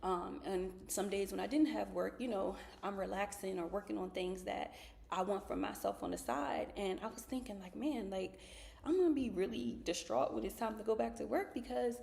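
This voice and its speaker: A woman's voice: a little high, vocal fry, a little mellow. Chatty woman telling a story-time review. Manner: chatty, upbeat, personal storytelling. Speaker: viewer giving a product review.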